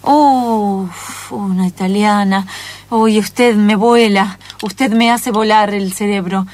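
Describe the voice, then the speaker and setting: A woman's voice: medium pitch, steady tone, takes it slowly, darkish tone, natural voice. Woman playing a hesitant, lovestruck young hotel clerk. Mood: smitten. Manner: hesitant, gushing. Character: lovestruck, sweet, easily flustered young man, hotel clerk. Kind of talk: improvised radio play.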